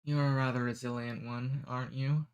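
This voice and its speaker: A man voicing a cordial, amused male demon who takes humans away, speaking softly and unhurriedly in an ASMR roleplay. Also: mid-range voice, flat delivery, moderate pace, clear, resonant tone, highs cut off, close to an everyday voice.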